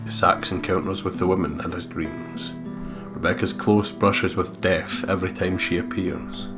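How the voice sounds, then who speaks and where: Low-voiced man narrating dramatically. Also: steady tone, measured pace, loud music behind the voice. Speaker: let's player reading the game aloud. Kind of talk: dramatic first-person story narration.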